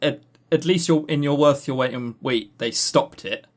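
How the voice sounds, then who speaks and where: A man's voice: on the high side, moderate pace. A man co-hosting a podcast in casual review chat, dryly sarcastic and often repeating words as he starts a sentence. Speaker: podcast co-host.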